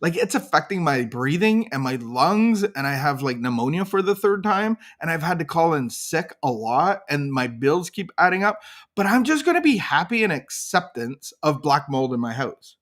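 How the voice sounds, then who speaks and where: A man's voice: a little high, very animated, moderate pace, fairly bright tone. A man co-hosting a recovery podcast in casual chat, talking in a preachy, emphatic way. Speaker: podcast co-host.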